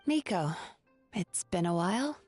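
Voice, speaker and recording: A woman playing a composed, caring, gently wry young therapist with a measured, articulate manner in an anime-style video game. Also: on the low side, animated, soft background music. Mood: dry, polite.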